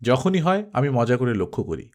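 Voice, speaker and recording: A detached male film director in a story, acted out by a male reader. He questions deliberately and muses philosophically, with animated pitch movement. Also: close to an everyday voice. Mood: probing. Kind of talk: Bengali audiobook character acting.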